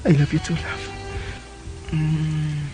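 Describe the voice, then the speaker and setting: A man's voice: fairly high pitch, completely flat delivery, slow pace, music in the background, poor audio. A man with a Filipino accent acting a devoted, playful young boyfriend in a radio drama. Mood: tender, playful.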